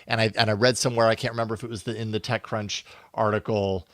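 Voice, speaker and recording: A man's voice: on the low side, little pitch movement, quick pace, a bit bright, dry recording. A man co-hosting a podcast, discussing casually and thinking aloud in a musing, tentative way. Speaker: podcast co-host.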